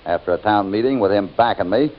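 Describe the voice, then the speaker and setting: A man playing a calm, assured cowboy hero in an old radio western, unruffled, wry and authoritative. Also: lowish voice, fast, lightly acted. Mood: relaxed, explaining. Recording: muffled old radio recording.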